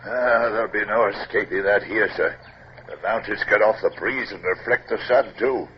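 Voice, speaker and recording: An actor playing a blunt, loyal British first officer, hearty and deferential, in an old radio drama. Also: male voice, fairly low pitch, moderate pace, lightly acted. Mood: deferential, eager. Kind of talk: 1950s radio drama acting. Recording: muffled old radio recording, faint hiss.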